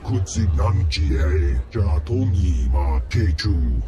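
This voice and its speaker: An actor voicing a huge slug-like crime lord who speaks in deep, booming alien gibberish in a video game cutscene. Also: male voice, expressive, slow, muffled recording, a crowd in the background, noisy background. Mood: commanding. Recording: music behind.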